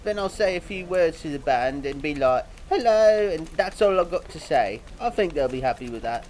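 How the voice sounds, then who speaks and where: A man's voice: a little high, expressive, normal speed, a little mellow, a little hiss or hum, natural voice. A man voicing a dim, earnest cartoon pop singer, rambling vaguely through a mock interview. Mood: self-important, earnest. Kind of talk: mock interview fan dub.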